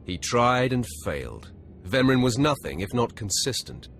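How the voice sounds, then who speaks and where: An actor playing a cool, confident young Sith warrior in a video game cutscene, formal and measured. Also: male voice, mid-range voice, sing-song, a bit bright, soft background music. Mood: respectful.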